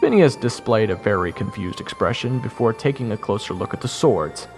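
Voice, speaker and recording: A man's voice: fairly low pitch, lively intonation, fairly quick. A man giving first-person dramatic scripted narration as the hero of a fantasy audio roleplay. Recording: long reverb, music under the voice.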